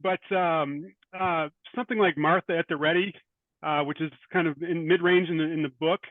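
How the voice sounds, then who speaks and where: A man's voice: fairly high pitch, steady tone, normal speed, no room sound. A man in his fifties or older answering interview questions as an author, hesitant and reflective. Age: 50s or older. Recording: tinny phone-like line, dry.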